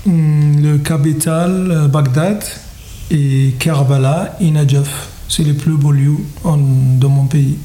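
Slow Iraqi man in an echoey room. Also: fairly high pitch, steady tone, warm tone. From Iraq. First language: Arabic. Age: 30s. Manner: slow, simple sentences with fillers. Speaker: interviewee.

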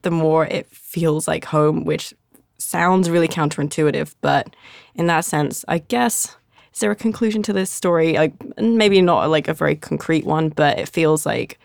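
A podcast host talks in a loose, chatty way and fills the gaps with "like". The voice is mid-pitched with a gravelly fry. The sound is dry and close to the mic. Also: no echo. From London, partly raised in Singapore. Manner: chatty, heavy use of "like". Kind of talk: casual podcast chat.

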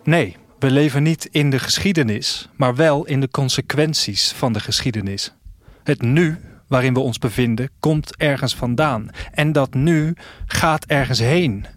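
A man's voice: medium pitch, unhurried, lightly acted. An actor playing a reflective man of a future world, narrating a radio drama intimately in the first person. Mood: fervent, poetic. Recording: music under the voice. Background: music.

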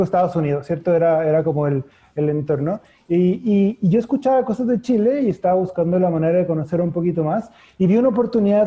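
A man with a northern Mexican accent and a high, very dark, mellow voice, talking as a guest in an interview. He explains at length and restarts his sentences often. The sound is dull and lo-fi. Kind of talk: conversational interview.

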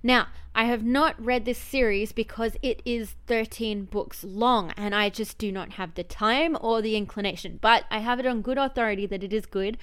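A woman's voice: a little high, moderate pace, hardly any pauses, fairly bright tone, smooth. A woman with an Australian accent hosting a book podcast and interviewing in a conversational style.

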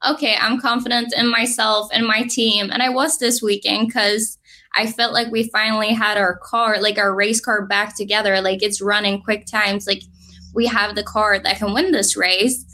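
A young woman from Norway with a Norwegian accent, telling her racing story as an interview guest, lively and full of "like". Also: mid-range voice, normal speed. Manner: lively, fillers of "like", laughs easily. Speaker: drag racing driver guest.

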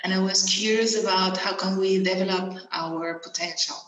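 A woman from Venezuela answering interview questions as a coach and mentor, talking with many pauses, ums and restarts. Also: mid-range voice, fairly even intonation, a bit bright, roomy sound, slight background noise, rough recording.

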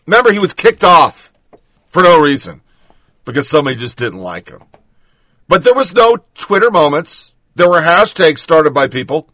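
A man's voice: fairly high pitch, big pitch swings, moderate pace, pushed and bright, no echo. A man hosting political commentary, reading news and then ranting bluntly with sarcasm and profanity.

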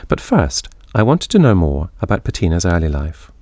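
Male host, lowish voice, thoughtful questions, repeats words when he stumbles. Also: very animated, moderate pace, a little mellow. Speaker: interviewer host. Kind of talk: scripted intro then conversational interview.

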